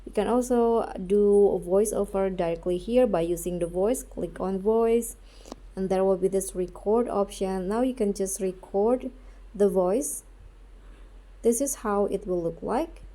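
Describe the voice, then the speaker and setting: A woman narrates a step-by-step app tutorial in a soft-edged, mellow voice. She takes it slowly, at a medium pitch, halting between short phrases as she guides the viewer. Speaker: tutorial host.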